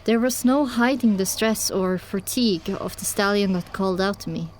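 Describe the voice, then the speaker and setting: A woman's voice: medium pitch, normal speed, a little hiss or hum. A woman reading scripted first-person story narration as the narrator of an audio drama.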